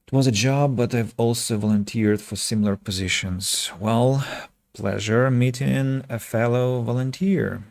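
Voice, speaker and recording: A man from Russia with a Russian accent chats casually with viewers as a game streamer, speaking reflectively and pausing mid-sentence. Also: medium pitch, vocal fry, a little mellow, dry recording. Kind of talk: casual livestream chat with viewers.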